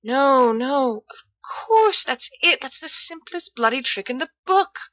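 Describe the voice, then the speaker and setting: A woman reading a storybook as a cautious, patient schoolboy with a hushed, hesitant manner. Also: high voice, animated, unhurried, no echo, pitched above the performer's own voice. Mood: realising.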